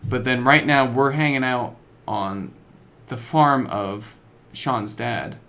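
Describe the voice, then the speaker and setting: Man, podcast co-host, creaky voice, mid-pitched, casual slangy storytelling. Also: slow, pushed and bright. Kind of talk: casual chat about video games.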